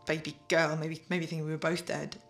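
An actress playing a haunted, obsessively loving daughter in an audio play, speaking with halting intensity and repeating her words. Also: sits low, little pitch movement, fairly bright tone, faint music behind. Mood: unsettled. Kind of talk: dramatic monologue, audio play.

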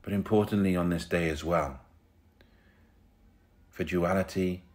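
A man leading a guided meditation in a soothing, unhurried way, pausing between short phrases. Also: low-pitched, fairly even intonation, a little mellow.

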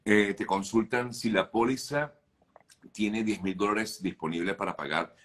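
Low voiced guy in his 50s hosting a webcam interview, brisk, reads out questions. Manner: steady, brisk, agreeable interjections. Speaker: host, journalist. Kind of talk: interview host reading viewer questions. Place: home office. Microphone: video call webcam.

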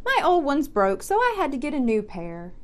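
A woman's voice: high voice, wildly swooping pitch, moderate pace. A woman voicing a polite, particular, sleep-deprived storybook owl, explaining with mild complaint.

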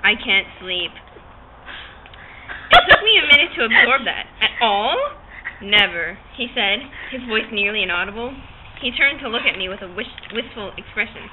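A woman's voice: mid-range voice, lively intonation, normal speed. Giggly young woman reading aloud, tinny sound. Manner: theatrical, often breaking into giggles. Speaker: amateur reader. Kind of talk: dramatic read-aloud of a novel, giggly and informal. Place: open-sided park picnic shelter outdoors. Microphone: camcorder across a picnic table. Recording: tinny phone-like audio, noisy outdoor picnic shelter.

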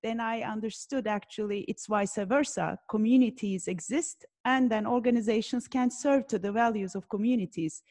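A woman from Turkey introducing herself on a video call, measured and enthusiastic. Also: fairly high pitch, darkish tone. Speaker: business designer, moderator.

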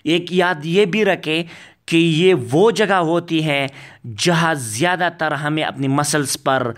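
Slow, halting man teaching with slides. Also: on the high side, a bit bright. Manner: slow, halting, many "uh" fillers; reads English lines very slowly. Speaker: accent teacher. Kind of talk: slide lecture.

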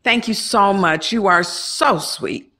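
A woman acting an excitable, playfully scheming aunt in an audio drama. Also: mid-range voice, slow pace. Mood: flustered, apologetic.

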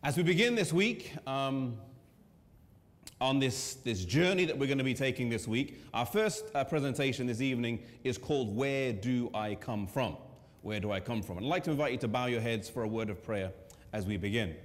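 A man in his thirties with an English accent, preaching in a conversational way with pauses and fillers. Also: medium pitch. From England. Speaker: pastor. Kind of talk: sermon presentation. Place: church hall with a seated audience. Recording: long church hall reverb.

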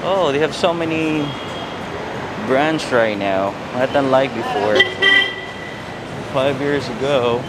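A man with a Filipino accent narrates a night walking tour outdoors, talking haltingly with many fillers into a handheld camera mic. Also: mid-range voice. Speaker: vlogger giving a walking tour. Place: busy city street at night, outdoors. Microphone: handheld camera mic while walking. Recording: loud street traffic noise. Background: loud traffic and street noise.

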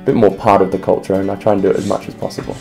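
A man's voice: sits low, little pitch movement, racing, vocal fry, over loud music. A man in his twenties from England with an English accent, talking casually and a little hesitantly in an interview. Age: 20s. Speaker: aspiring restaurateur and home cook.

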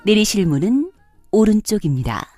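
Lively-pitched, mellow woman transit announcer. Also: moderate pace, dry recording, studio quality, soft background music. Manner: formal, polite, carefully enunciated. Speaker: subway announcer. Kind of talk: scripted public transit announcement.

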